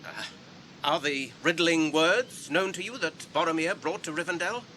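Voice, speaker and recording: An English actor playing an earnest, courteous, guarded hobbit traveller in a radio drama, speaking in a measured, formal way. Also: male voice, on the high side, sharp tone, a little hiss or hum. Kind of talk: BBC radio drama acting.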